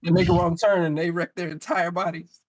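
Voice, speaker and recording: A man's voice: sits high, flat delivery, fairly quick, a crowd in the background. An American man co-hosting a podcast, chatting casually and giving firm opinions, repeating phrases for emphasis. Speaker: podcast co-host. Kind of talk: casual chat.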